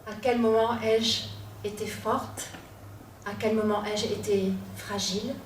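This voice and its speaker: A young woman from Corsica, telling her own story on stage, with dramatic pauses and self-mocking asides. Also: medium pitch, little pitch movement, slight background noise. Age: 20s. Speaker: student storyteller. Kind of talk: personal storytelling talk. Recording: echoey room, from a distance.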